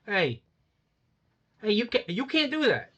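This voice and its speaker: A man's voice: high-pitched, sing-song, unhurried, sharp tone, breathy edge, lo-fi sound, full character voice. An actor in his forties playing a cagey, evasive male crook in a radio drama. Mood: protesting. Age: 40s. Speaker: suspect.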